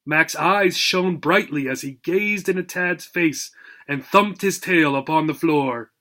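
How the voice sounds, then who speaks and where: A man reading a children's story aloud as a teacher, deliberate and expressive, emphasising words for learners. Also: on the high side.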